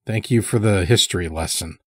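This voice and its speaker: A man's voice: low voice, fairly quick, fairly bright tone, slightly rough, dry recording, studio quality. A man voicing a terse, guarded hunting lodge master in an audio story, curt and restrained. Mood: curt, wary. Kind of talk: dramatic audio story character.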